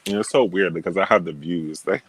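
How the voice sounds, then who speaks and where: A man's voice: low-pitched, fast talker, fairly bright tone, low-quality recording. A man talking as a guest on a video call, stating firm opinions with many fillers and laughing at himself. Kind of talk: casual opinionated chat.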